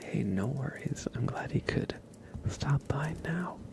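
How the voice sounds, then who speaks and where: A man hosting an ASMR livestream, chatting casually and gently to viewers in a soft breathy whisper, close to the microphone. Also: medium pitch, animated, takes it slowly. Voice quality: breathy whisper with heavy fry.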